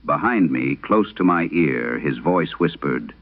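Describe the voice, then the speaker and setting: A man narrating a vintage radio horror drama as its host, reading in a grave, deliberate, dramatic manner. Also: extremely low pitch, lively intonation. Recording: narrow-band vintage radio sound.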